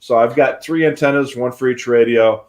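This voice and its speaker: A man's voice: medium pitch, fairly even intonation, normal speed, a little mellow. Middle-aged American man, folksy explainer. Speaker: co-host of a radio scanner show. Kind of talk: casual explanatory talk on a livestream. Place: indoor home radio room on a video call.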